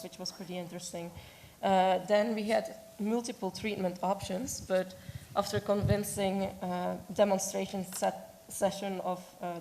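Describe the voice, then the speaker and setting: A woman delivers a prepared talk at a conference while losing her voice. Her pitch sits in a middle range and moves little, keeping the delivery even. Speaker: conference presenter, objects conservator.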